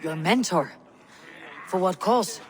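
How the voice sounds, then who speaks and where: A woman's voice: lowish voice, takes it slowly, a crowd in the background. A woman playing a steadfast young Viking warrior in a video game, blunt, composed and dryly wry. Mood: friendly, curious. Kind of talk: cinematic video game acting.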